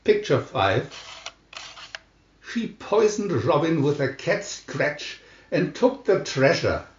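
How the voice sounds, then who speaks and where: A man narrating a scripted storybook in a deliberate, theatrical announcing manner. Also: on the high side, very animated. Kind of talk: dramatic scripted storybook reading. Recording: dull lo-fi, roomy echo.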